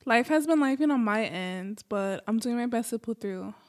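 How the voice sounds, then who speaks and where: Female podcast host, American, slightly high pitch, informal and easygoing, casual monologue delivery. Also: animated, moderate pace. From Los Angeles, United States.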